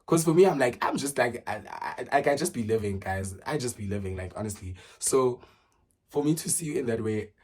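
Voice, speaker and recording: A man's voice: medium pitch, animated, fairly quick, a little mellow. A man, chatting casually through a get-to-know-me Q and A, full of fillers and restarts. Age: early 20s. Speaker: vlogger.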